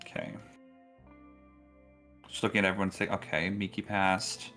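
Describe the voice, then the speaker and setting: A man with a fairly low but bright, forward voice runs a tabletop role-playing game. He narrates off the cuff in a casual, halting way, pausing often. Music plays behind him. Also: level tone.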